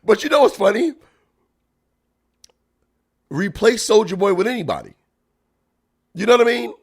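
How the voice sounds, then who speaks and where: A man hosting a podcast, a stand-up comic chatting and reacting to clips, with a stuffy nose and loud laughs. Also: high voice, moderate pace, vocal fry, breathy edge. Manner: animated, laughs loudly, chatty slang. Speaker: podcast host and stand-up comedian. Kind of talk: casual chat reacting to clips.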